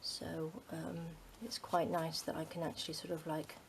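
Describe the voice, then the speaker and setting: Chatty middle-aged Englishwoman, low voice. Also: monotone, moderate pace, creaky, slight background noise. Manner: rambling, many ums, drops to a soft near-whisper while working. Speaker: craft channel host. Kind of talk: casual chat while crafting.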